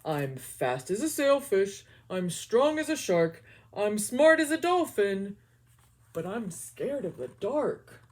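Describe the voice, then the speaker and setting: A woman voicing an earnest, worried male fish hero in a storybook, in a loud, bright, sing-song voice. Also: medium pitch, very animated, slow. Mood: earnest, fearful.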